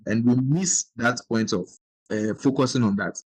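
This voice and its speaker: A man's voice: medium pitch, normal speed, husky, no room sound, rough recording. A man from Ghana, hosting a podcast and explaining his points earnestly. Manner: earnest, explanatory, with brief backchannel agreements. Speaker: podcast host. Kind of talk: podcast conversation.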